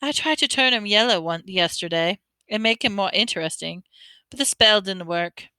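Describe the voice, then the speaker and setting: A woman's voice: medium pitch, normal speed, sharp tone, clear, resonant tone, dry recording, close to an everyday voice. A woman voicing a chatty, good-humoured young schoolboy in an offhand way.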